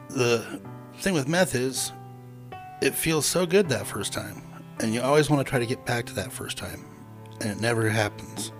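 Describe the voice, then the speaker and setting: A man in his forties explaining his experience in a thoughtful way. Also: medium pitch. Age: 40s. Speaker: interviewee. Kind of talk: personal testimony.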